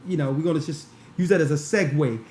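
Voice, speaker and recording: A man, an elder of the church, talking quickly on a call-in show and often restarting his sentences. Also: on the high side, a little mellow, reverberant, slight background noise. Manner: fast, rambling, restarts. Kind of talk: talk show commentary.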